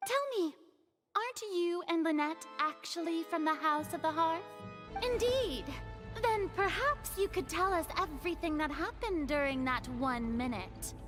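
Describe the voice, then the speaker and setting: A woman's voice: extremely high pitch, expressive, measured pace. A woman voicing a haughty, theatrical young water goddess in a video game, with grandiose courtroom oratory over music. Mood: smug, confident. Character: theatrical, haughty young female hydro archon presiding over a trial. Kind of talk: dramatic video game voice acting.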